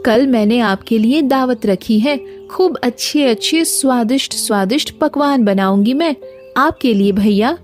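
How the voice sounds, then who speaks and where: An earnest, affectionate village wife from a cartoon moral tale, played by a woman. The voice sits high. She is polite and humble in her delivery, with faint music underneath. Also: a little slow, darkish tone, lightly acted. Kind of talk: animated moral story dialogue.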